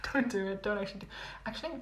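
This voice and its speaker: A young woman chatting casually with livestream viewers, rambling and repeating herself with little laughs, her voice going hoarse. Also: medium pitch, fast, fairly bright tone. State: losing her voice. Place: home room. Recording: echoey room, phone livestream.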